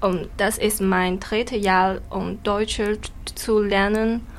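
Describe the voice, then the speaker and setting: Slow, hesitant Chinese-accented woman. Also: mid-range voice, fairly even intonation, slight background noise. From China. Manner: hesitant, self-correcting. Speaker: exchange student. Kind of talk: interview answers in learner German.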